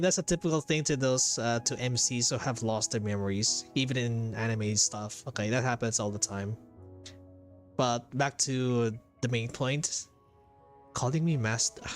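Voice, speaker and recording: A man giving casual game playthrough commentary as a streamer, rambling as he thinks aloud. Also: mid-range voice. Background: game music.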